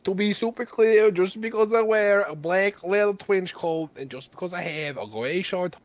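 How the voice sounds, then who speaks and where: A man's voice: high voice, moderate pace, dry recording, dull audio, close to an everyday voice. A man voicing a defensive male officer character with a German accent in a role-playing game.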